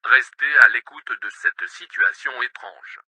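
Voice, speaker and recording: A man's voice: medium pitch, moderate pace, sharp tone, dry recording. A man's synthetic-sounding voice formally reading a scripted emergency broadcast announcement.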